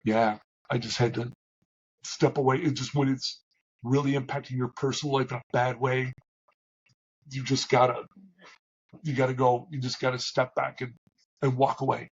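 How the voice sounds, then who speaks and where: A middle-aged man with a big, booming voice that comes through husky and a little dull. He talks candidly and haltingly as an interview guest, restarting his sentences often. His pitch sits at an ordinary level for a man. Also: little pitch movement. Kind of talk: reflective conversational interview.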